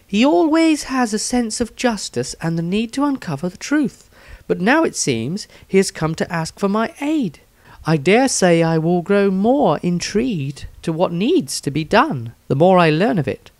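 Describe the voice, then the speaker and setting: A man voicing an earnest woman adventurer reading her diary in a measured, formal, thoughtful way. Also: high-pitched, very animated, no room sound, pitched above the performer's own voice, heavily acted. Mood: intrigued.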